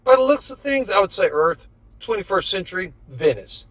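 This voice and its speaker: A man voicing a self-satisfied, scatterbrained male inventor from a novel, flustered and quick to justify himself. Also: high-pitched, big pitch swings, a bit bright, no echo, voice pushed up, heavily acted, poor audio. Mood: pleased, explaining.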